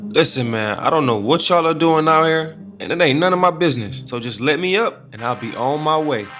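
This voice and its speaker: A cocky young street drifter talking in loose, casual slang, played by a man. His voice is a bit higher than most men's. Soft music plays underneath. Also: animated, fairly quick. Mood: defiant. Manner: casual slangy, defiant then pleading.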